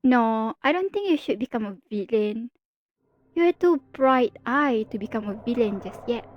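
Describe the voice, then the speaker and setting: A woman's voice: on the high side, dull audio, a little hiss or hum. A woman performing an ASMR roleplay as a teasing female villain talking to her rival hero. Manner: teasing, playful, confiding.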